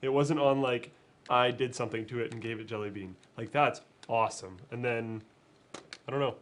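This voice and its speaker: A man with a cold co-hosting a casual tech show, relaxed and quick to laugh. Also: medium pitch. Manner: laid-back, laughs often. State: sick with a cold. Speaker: co-host. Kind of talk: casual chat.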